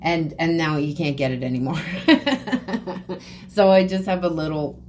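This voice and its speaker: A woman hosting a podcast, talking in a relaxed, agreeable way in casual conversation. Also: low voice, moderate pace, slight background noise. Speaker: podcast host.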